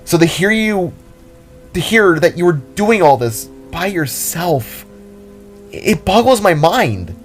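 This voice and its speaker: A man's voice: sits high, big pitch swings, slow, faint music behind, pitched above the performer's own voice, exaggerated voice. A man voicing a determined, caring young woman, a guitarist and fighter, in an earnest, rambling, pleading way for an audio drama.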